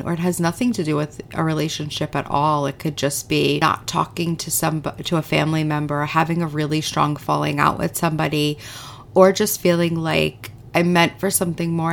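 A woman's voice: deep voice, vocal fry, a little hiss or hum. A woman, hosting her own podcast in a chatty, candid, self-deprecating way. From United States. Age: 40s. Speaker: podcast host and goal coach. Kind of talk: casual solo podcast talk.

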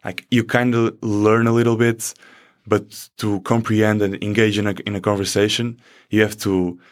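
Low-voiced young man. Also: level tone, clear, resonant tone. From Portugal. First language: Portuguese. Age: young adult. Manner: halting, restarts phrases, laughs easily. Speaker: student soccer player. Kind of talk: conversational interview answers. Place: campus studio.

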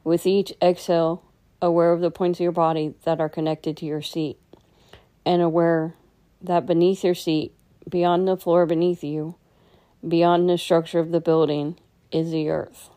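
A woman guides a meditation in a low, dark and relaxed voice. Her delivery stays very level and unhurried, with gentle instructions given step by step. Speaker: meditation teacher.

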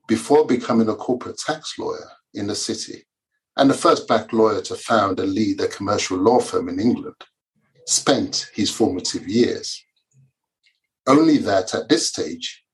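A man, a Yoruba speaker, reading his own memoir aloud in a measured, formal way. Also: on the low side, rough recording. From Nigeria. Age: 50s. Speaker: author and lawyer.

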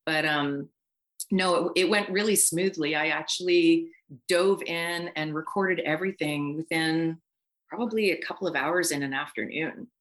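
Middle-aged female interview guest, fairly low voice, informal and conversational, recorded in a home space. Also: moderate pace, a bit bright. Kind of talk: casual remote interview.

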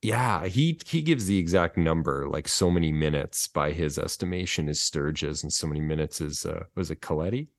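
A man's voice: rumbling low, expressive, moderate pace, hardly any pauses, dry recording, clean studio sound. A man co-hosting a podcast, chatting about films in a rambling, colloquial way. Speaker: podcast co-host. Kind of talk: casual film chat.

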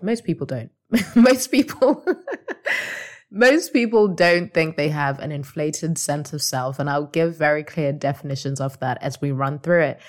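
A woman hosting a casual solo podcast as a coach, her voice slightly raspy, asking the listener reflective questions. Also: low voice.

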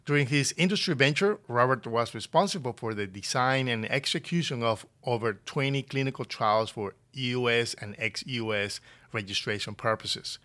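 A man's voice: medium pitch, normal speed, pushed and bright. A man reading a scripted podcast introduction and a formal guest biography. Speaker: podcast announcer.

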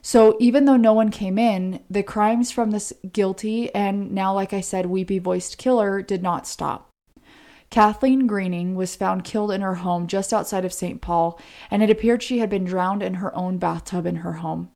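A woman's voice: medium pitch, fairly even intonation, normal speed, mellow. A woman co-hosting a podcast, narrating true crime in an informal way with chatty asides. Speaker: podcast co-host.

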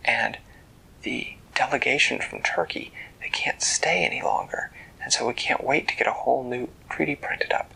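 A storyteller voicing a woman president explaining a problem in a soft, hushed, matter-of-fact way. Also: medium pitch, moderate pace, gravelly, breathy edge, whispered, full character voice, rough recording. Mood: worried. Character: female U.S. president, calm and polite.

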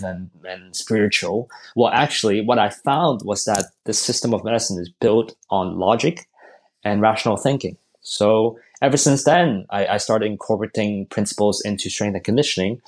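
A man from Hong Kong, a strength and conditioning coach, answering podcast interview questions in a thoughtful way, often restarting his words. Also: on the low side.

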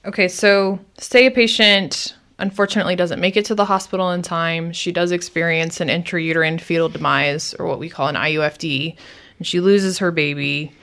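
A woman's voice: lowish voice, fairly even intonation, moderate pace, a bit bright. A woman hosting a podcast, speaking in a conversational interviewing style.

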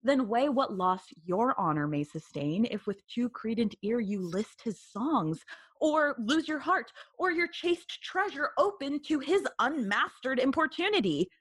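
A woman's voice: fairly high pitch, sing-song, measured pace, fairly bright tone, husky, no room sound, heavily acted. A woman playing an earnest, protective older sibling in a Shakespearean audio play, speaking in an emphatic, theatrical, admonishing way. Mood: earnest warning. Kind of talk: Shakespearean audio drama acting.

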